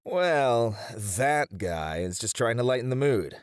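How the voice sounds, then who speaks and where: A man's voice: mid-range voice, lively intonation, slow pace, clean tone, no echo, studio quality. A man playing a flirty, cheeky hare-eared mafia boss in an ASMR roleplay, teasing and playful as he charms his rivals. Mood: wry, easygoing.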